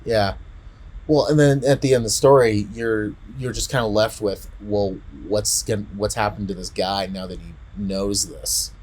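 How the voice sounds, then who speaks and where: A man in casual podcast chat, retelling a story in a rambling, halting way and often repeating words. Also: fairly low pitch, steady tone, a little mellow. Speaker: podcast co-host.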